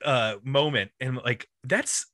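Bright-voiced man, casual podcast host. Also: mid-range voice, slow, dry recording, studio quality. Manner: rambling, uses 'like' constantly. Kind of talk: casual music discussion.

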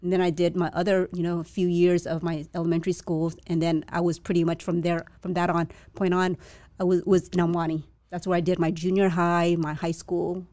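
A woman's voice: on the low side, flat delivery, a little fast, mellow, no room sound. A young woman born to a Chinese family in Vietnam, speaking as an interview guest in a hesitant, reflective way with many restarts. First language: a Chinese dialect. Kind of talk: casual interview.

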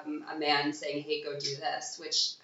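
A woman with a bright but fairly low voice explains things thoughtfully as a guest. She sounds a bit distant in a very echoey room, and the recording is dull and lo-fi. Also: completely flat delivery, normal speed. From Michigan, USA. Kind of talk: casual interview chat.

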